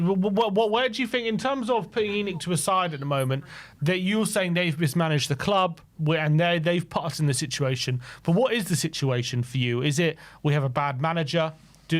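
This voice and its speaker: A man hosting a fan phone-in show, chatting casually with callers and asking loose, stumbling follow-up questions. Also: fairly high pitch. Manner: easygoing, probing questions with stumbles and fillers. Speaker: host.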